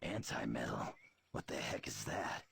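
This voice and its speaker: A man playing a gruff, blunt, tough mutant brawler with a gravelly voice in a video game. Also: a bass voice, very animated, unhurried, fairly bright tone, no echo, exaggerated voice. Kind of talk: video game cutscene acting.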